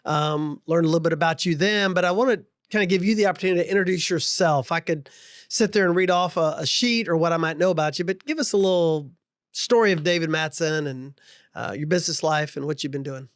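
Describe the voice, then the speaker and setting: A man's voice: fairly high pitch, fast talker, no echo, studio quality. A man hosting an interview podcast in a conversational style. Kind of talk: conversational interview with scripted show intro.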